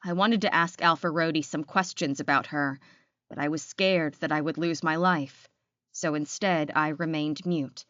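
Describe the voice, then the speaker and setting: A woman's voice: sits low, steady tone, moderate pace, a bit bright, no echo, muffled recording. A woman reading a scripted audiobook, narrating dramatic fiction in the first person.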